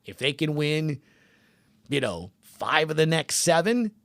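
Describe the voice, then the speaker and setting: Man, fairly high pitch, sports podcast host, casual commentary, emphatic punchy phrasing, dry close recording. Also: very animated, a little slow, gravelly, a bit bright, dry recording.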